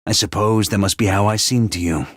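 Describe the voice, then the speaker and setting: An actor playing a theatrical, scheming schoolmaster who barks cheerful orders at troops and then drops to low, calm menace. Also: male voice, brisk, no room sound, studio quality, close to an everyday voice. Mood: calm sinister. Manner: booming, swings between shouted cheer and low menace. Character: manic theatrical male drill-sergeant schoolmaster, scheming. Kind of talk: video game voice lines.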